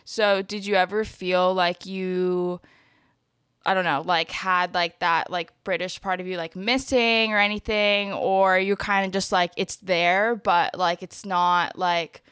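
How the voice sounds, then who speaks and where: A woman's voice: fairly low pitch, steady tone, unhurried, a bit bright, clean tone, dry recording, studio quality. A woman interviewing a guest, asking curious, meandering questions with many fillers.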